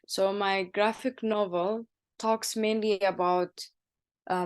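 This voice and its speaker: A woman from Somalia speaking on a panel. She talks softly and uses many fillers. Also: mid-range voice.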